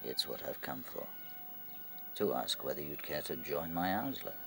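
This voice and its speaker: An otherworldly rabbit lord, with an extremely deep voice that creaks with gravel. He is calm and gentle, soothing and reassuring as he summons in this radio play, with music underneath. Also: moderate pace, full character voice. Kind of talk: radio drama acting. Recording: music behind the voice.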